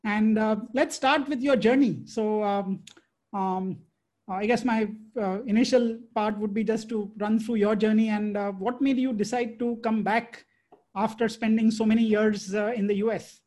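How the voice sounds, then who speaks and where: A man's voice: sits high, fairly even intonation, moderate pace, darkish tone. A man hosting a podcast, introducing a guest and asking questions in a friendly, filler-laden way. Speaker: podcast host.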